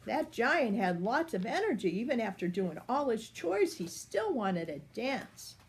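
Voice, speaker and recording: An older woman reading a picture book aloud for children in an expressive, unhurried way. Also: a little high. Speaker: storyteller.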